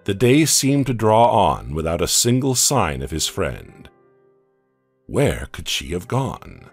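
A man narrating a dark audio comic story in a scripted, dramatic way, often dropping to a hush at the ends of lines. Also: sits low, very animated, breathy edge, faint music behind. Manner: slow, dramatic storytelling with hushed drops.

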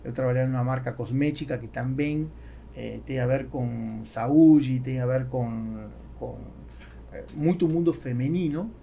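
A middle-aged man with a Spanish accent gives conversational answers on a podcast. His voice is dark and mellow with a gravelly creak, and he halts often to search for words. Faint noise sits behind the studio microphone. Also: mid-range voice, measured pace. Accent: Spanish-accented Portuguese. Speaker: podcast guest, business executive. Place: small foam-treated podcast studio. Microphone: close boom-arm studio microphone.

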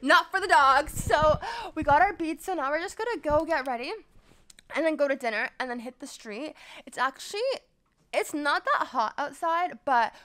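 A woman's voice: high-pitched, normal speed, a bit bright. A young woman vlogging casually on a trip, giddy and chatty, filling her talk with "like" and "literally". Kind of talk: casual travel vlog.

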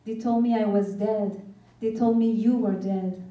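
A woman giving a deliberate, emphatic poetry reading on stage. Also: medium pitch, fairly even intonation, mellow. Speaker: poetry reader. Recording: echoey hall from far off.

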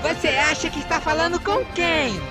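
High-pitched, menacing demon baby villain. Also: moderate pace. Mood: taunting threat. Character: menacing evil nightmare baby villain, taunting and boastful. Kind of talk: theatrical anime parody dubbing. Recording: loud music behind the voice.

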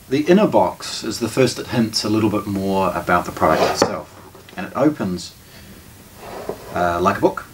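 A middle-aged man, giving an unscripted product unboxing commentary as a presenter. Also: fairly low pitch. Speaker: shop presenter, product reviewer. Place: small indoor listening room with shelves. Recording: distant camera mic, background noise, clicks.

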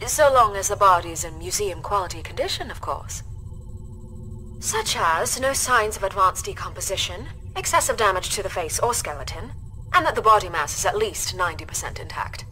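A woman's voice: mid-range voice, animated, normal speed, bright, forceful tone, faint music behind, rough recording. An actress voicing a poised female alien broker who speaks in a formal, precise, businesslike way. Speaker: game character.

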